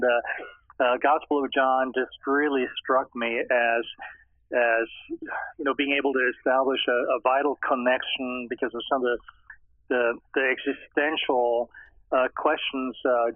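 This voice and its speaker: A middle-aged man, answering interview questions in a hesitant way with many pauses and restarts. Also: medium pitch, dry recording. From Austria. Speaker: guest scholar. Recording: tinny phone line.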